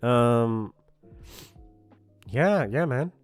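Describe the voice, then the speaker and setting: A male channel host rambles through a casual outro. He is awkward and self-deprecating, halting often and drifting along at an unhurried pace over faint music. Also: medium pitch, animated, smooth. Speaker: voice actor hosting his channel.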